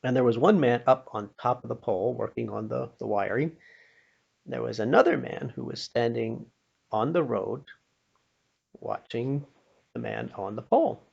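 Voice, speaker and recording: Gravelly older North American man telling stories. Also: medium pitch, expressive, moderate pace, darkish tone. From Pennsylvania, United States. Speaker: storyteller. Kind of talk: personal storytelling with a moral. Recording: dull, muffled lo-fi recording.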